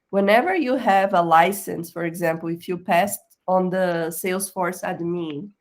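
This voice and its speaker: Woman coach with a slightly low voice. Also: darkish tone. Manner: explanatory, checks in with "okay?", non-native phrasing. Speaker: career coach. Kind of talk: screen-share tutorial on a professional profile.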